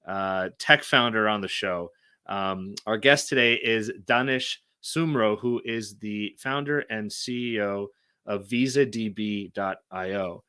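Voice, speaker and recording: Upbeat low-voiced male host, bright tone. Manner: upbeat, many fillers like um and you know. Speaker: podcast host, former immigration attorney. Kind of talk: hosting an interview show.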